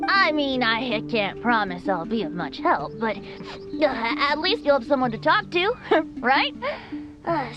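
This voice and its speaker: A woman's voice: high-pitched, lo-fi sound. A woman voicing a breezy, boyish, easygoing bard in a video game, light-voiced, hesitant and playful, congested with allergies. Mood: reassuring. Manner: hesitant, airy, playful, throat-clearing. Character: boyish, breezy, easygoing wandering bard, secretly a wind spirit. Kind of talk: video game character acting.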